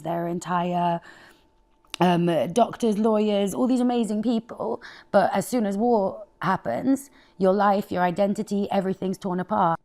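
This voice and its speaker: A woman in her thirties from Afghanistan speaks as a guest chef, telling her life story in relaxed conversation. Her voice sits a little low. She is open and expressive and laughs often. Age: 30s.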